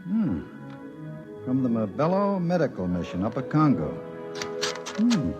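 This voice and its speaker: A skeptical chief with a mid-pitched, highly animated voice. He is gruff yet indulgent, in 1950s radio style. Music plays clearly behind him. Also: measured pace, muffled recording. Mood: gruff, musing. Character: gruff, skeptical male chief, exasperated but indulgent boss.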